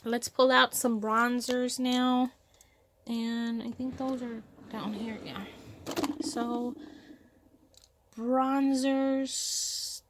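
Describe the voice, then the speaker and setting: A woman's voice: on the high side, flat delivery, drawn-out words, pauses often, slight background noise. A woman with a stuffy cold nose, chatting casually and thinking aloud as she sorts her makeup at home. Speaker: beauty vlogger. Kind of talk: casual makeup vlog chat. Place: home room with vanities.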